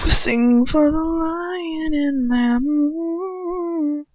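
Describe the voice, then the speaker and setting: A woman reading a story aloud as an amateur narrator, expressive and informal. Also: sits high, extremely slow, no echo, faint music behind. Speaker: amateur audiobook reader. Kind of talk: dramatic fan fiction narration with casual asides. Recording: dull lo-fi home recording.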